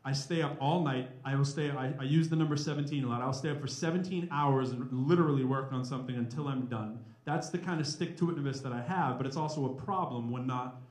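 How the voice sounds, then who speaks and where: An energetic man in his forties speaking to a live audience as a rapper and professor, in a conversational, informal way. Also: mid-range voice, quick pace, hardly any pauses. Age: 40s. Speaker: rapper and mathematics professor giving a talk. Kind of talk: informal live talk with spoken-word rap. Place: indoor live event at a college. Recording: roomy, echoing live event recording.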